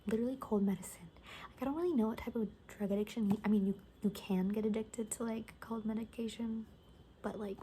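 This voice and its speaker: A woman playing a gossipy, rambling zombie apocalypse survivor in a soft-spoken, whispery ASMR roleplay, talking hesitantly and conspiratorially. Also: on the high side, fairly even intonation, brisk, slight background noise, lightly acted. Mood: gossipy, indignant.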